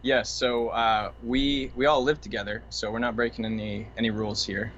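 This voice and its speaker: A man's voice: mid-range voice, little pitch movement, normal speed, fairly bright tone, lo-fi sound, slight background noise. A man from Maine, a music producer guest chatting casually and easygoingly with plenty of fillers. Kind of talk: casual chat.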